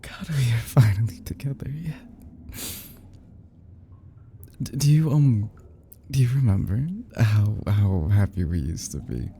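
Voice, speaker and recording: Man acting a low, dark-voiced obsessive lovesick kidnapper. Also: expressive, slight background noise. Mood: tearfully tender. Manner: halting, stammering, breathy nervous chuckles, often dropping to whispers. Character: obsessive lovesick male kidnapper, desperate and unstable. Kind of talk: intimate audio roleplay, ASMR acting.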